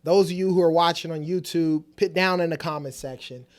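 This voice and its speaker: A man's voice: on the high side, fairly quick. A man in his late thirties co-hosting a family vlog in a casual, conversational style. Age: late 30s. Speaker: co-host of a couple's family vlog.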